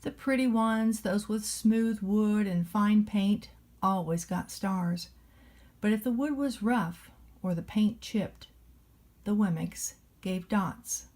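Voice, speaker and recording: A woman with a soft-edged, mellow tone and middle pitch reads a children's story aloud. She narrates in a measured, expressive way, as a nanny would to a little one. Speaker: storybook reader playing a nanny.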